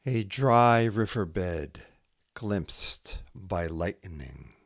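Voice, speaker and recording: A man hosting a poetry podcast, reading scripted lines in a measured, deliberate way with long pauses between short phrases. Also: deep voice, animated, dry recording, clean studio sound.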